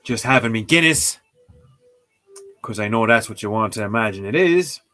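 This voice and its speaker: Animated, joking man on a livestream. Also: fairly low pitch, moderate pace, soft background music. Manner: playful, joking, mock exclamations. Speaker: streamer learning a language. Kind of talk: casual live-stream commentary.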